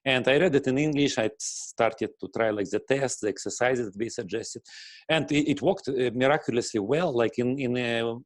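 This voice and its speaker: Russian-accented man, halting and dry. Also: medium pitch, no room sound. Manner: halting, many fillers and restarts, clears throat often. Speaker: guest, breathing teacher. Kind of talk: casual interview answer.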